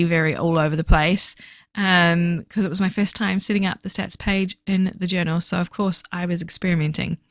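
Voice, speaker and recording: Slightly hoarse, chatty woman vlogger. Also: mid-range voice, no echo, studio quality. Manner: chatty, many fillers, talks fast. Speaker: book vlogger. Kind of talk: casual voiceover for a reading journal video.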